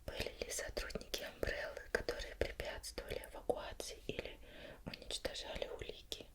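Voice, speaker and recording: A woman doing an ASMR roleplay as an interviewer, putting questions slowly and gently in a formal, deliberate way with pauses.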